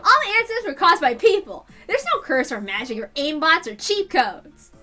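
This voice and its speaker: A woman's voice: high-pitched, very animated, moderate pace, pushed and bright, faint music behind, voice pushed up, exaggerated voice. A woman voicing a brash, skeptical, teasing teenage girl in a visual novel. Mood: brash, insistent.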